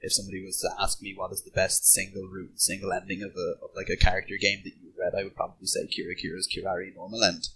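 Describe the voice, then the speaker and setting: A man's voice: sits low, completely flat delivery, fast talker, hardly any pauses, bright, forceful tone, slight background noise, rough recording. A man in a casual podcast discussion, thinking aloud in a hesitant way as he gives his opinions.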